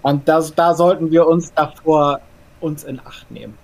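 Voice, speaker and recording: A man's voice: a little high, a little slow, gravelly, a little mellow. A man playing a nervous, perfectionist vampire in a role-play audio drama, stammering and repeating words in a formal, earnest way. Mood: tense, earnest.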